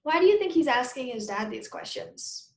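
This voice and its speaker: A woman teacher reading a children's story aloud, pausing with conversational explanations and questions for pupils. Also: high voice, very animated, roomy sound.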